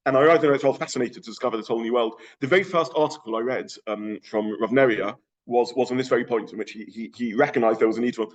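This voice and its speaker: A man's voice: mid-range voice, quick pace, highs cut off. A middle-aged man with a London accent giving an informal lecture, thinking aloud with frequent restarts. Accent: London English. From England.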